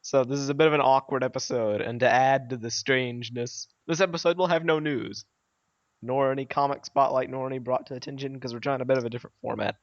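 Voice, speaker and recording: A man's voice: medium pitch, fairly even intonation, fast talker. Male podcast host, casual and conversational, mid-range voice, slightly muffled lo-fi recording. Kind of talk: casual group podcast chat.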